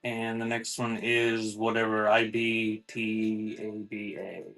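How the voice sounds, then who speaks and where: A man's voice: on the low side, completely flat delivery, slow, fairly bright tone, soft background music, poor audio. Man hosting a casual livestream sale. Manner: informal, off-the-cuff announcing. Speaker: host of a live online auction selling cassette tapes. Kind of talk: casual livestream sales patter.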